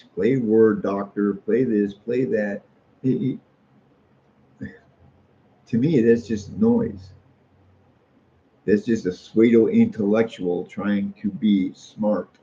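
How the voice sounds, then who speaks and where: Middle-aged American man, folksy and opinionated casual talker with a gravelly fry, on muffled lo-fi audio. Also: lowish voice, dark tone. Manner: folksy, opinionated, repeats phrases for emphasis. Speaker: street artist. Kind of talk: casual rambling monologue. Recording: muffled lo-fi audio with faint noise.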